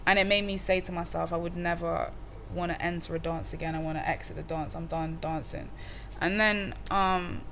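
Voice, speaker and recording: Young woman musician, low-pitched, easygoing slangy talker, faint room hiss behind. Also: moderate pace, few pauses. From Cardiff, Wales. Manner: relaxed, slangy, many fillers and restarts. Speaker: musician guest. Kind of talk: casual interview chat.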